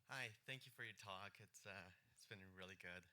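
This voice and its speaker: A man's voice: low-pitched, moderate pace, sharp tone, clear, resonant tone. A man from Korea, an audience member earnestly and hesitantly asking the panel a question. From South Korea. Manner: earnest, hesitant, many fillers.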